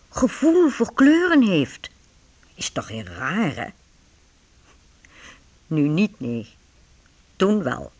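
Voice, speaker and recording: A musing radio drama narrator with a slightly high voice. He speaks slowly and reflectively, with lively rises and falls, as a storyteller of medieval town life. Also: slightly rough, full character voice.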